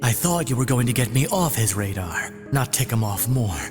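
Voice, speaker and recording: A man's voice: mid-range voice, lively intonation, moderate pace, close to an everyday voice. A man voicing a surly, vengeful turncoat infiltrator in a comic dub, terse and menacing. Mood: suspicious. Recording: long reverb, music behind.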